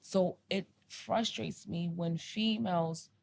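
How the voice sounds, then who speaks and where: A woman's voice: fairly low pitch, fairly even intonation, slow, creaky, slight background noise. A woman co-hosting a podcast, speaking with passion, repeating herself as she pleads with listeners. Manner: impassioned, repetitive, pleading. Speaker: podcast co-host. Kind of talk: earnest podcast discussion.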